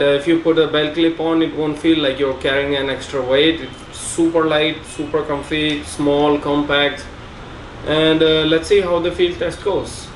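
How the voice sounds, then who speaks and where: A man presenting a gadget review in a casual vlog style. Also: a little high. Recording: echoey, faint background noise.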